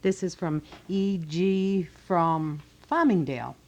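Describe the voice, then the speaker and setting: A woman's voice: lowish voice, slow, a little mellow, muffled recording. A middle-aged woman co-hosting a 1980 local radio show, talking casually and politely with listeners and about their letters. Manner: polite, appreciative.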